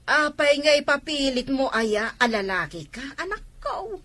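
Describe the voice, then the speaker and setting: Anguished mother, bright and swooping. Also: high voice, big pitch swings, moderate pace. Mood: pleading. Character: anguished, pleading mother in family melodrama. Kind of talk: radio drama acting.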